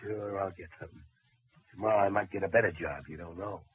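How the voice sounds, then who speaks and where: A man's voice: deep voice, a little hiss or hum, lightly acted. A man playing a hot-headed jobless husband. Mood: bitter. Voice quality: slangy working-class speech, bitter and quick to anger. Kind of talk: 1940s radio acting. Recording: dull old radio recording.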